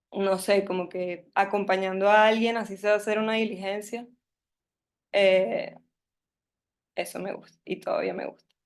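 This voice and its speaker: A young woman from Caracas with a Venezuelan Spanish accent, telling her life story at a workshop in a hesitant, nervous way, full of fillers. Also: mid-range voice. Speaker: workshop speaker. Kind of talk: personal storytelling talk.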